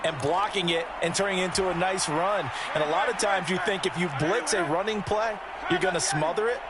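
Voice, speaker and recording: A man's voice: high voice, brisk, close to an everyday voice. A man playing a chatty, emphatic color analyst in an American football video game, explaining plays conversationally with an American accent. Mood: explanatory. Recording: loud game noise over the commentary.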